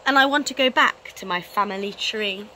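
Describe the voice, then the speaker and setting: A woman's voice: mid-range voice, normal speed, sharp tone, a little hiss or hum, lightly acted, low-quality recording. A woman voicing a small living stick man from a storybook, indignant and pleading, with emphatic protests.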